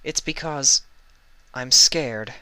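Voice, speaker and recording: A man's voice: mid-range voice, takes it slowly, sharp tone, smooth, no echo, pitched above the performer's own voice. A man voicing an anxious, guilt-ridden female pony princess from a fan story, pleading and earnest. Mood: frightened. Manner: emotional, earnest, pleading delivery.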